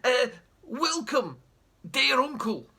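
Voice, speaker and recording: A man's voice: extremely high pitch, sing-song, slow pace, creaky, sharp tone, slightly rough, voice pushed up, full character voice. A man doing a fawning nervous dad. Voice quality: forced, ingratiating. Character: nervous fawning middle-aged father.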